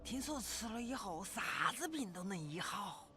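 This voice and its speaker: A woman's voice: mid-range voice, lightly acted. An actress voicing a rustic, doting, superstitious mother in a video game, with a Sichuanese accent and a folksy storytelling manner. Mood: gossipy, eerie. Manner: folksy, drawn-out, expressive. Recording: game music behind the voice.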